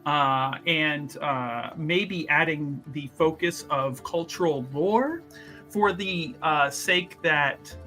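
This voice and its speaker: A man's voice: on the high side, takes it slowly, sharp tone, faint music behind. A man chatting casually as a tabletop game player, jokey and thinking aloud in stop-start phrases. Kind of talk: casual improvised game chat.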